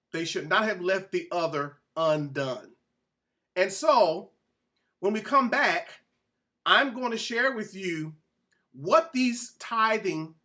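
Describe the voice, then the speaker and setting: A man's voice: high voice, bright, forceful tone. A man giving an informal Bible-teaching sermon as a presenter, speaking emphatically, repeating phrases and putting questions to his listeners.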